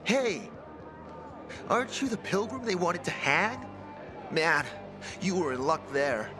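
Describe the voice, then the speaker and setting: A man's voice: high voice, big pitch swings, slow pace, music in the background, full character voice. A man playing a young apprentice inventor in a video game, chatty, earnest and unsure of himself. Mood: wry, discouraged. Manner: chatty, earnest, self-doubting. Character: young, eager, self-doubting male apprentice inventor. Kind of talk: video game cutscene acting.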